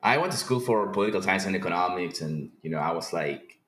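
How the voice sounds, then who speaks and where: A man from Peru speaking casually as an interview guest, informal and halting, repeating words as he explains. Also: low-pitched, expressive, fairly bright tone, a little hiss or hum. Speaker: podcast guest, company founder. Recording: roomy, echoing sound.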